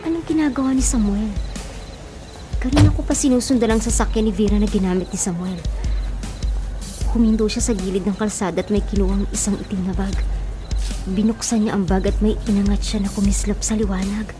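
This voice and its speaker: A woman's voice: mid-range voice, steady tone, brisk, natural voice. A woman playing an alarmed witness with a Filipino accent, narrating suspensefully in a radio drama. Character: alarmed woman secretly tailing and narrating what she sees. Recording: dramatic music behind. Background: music.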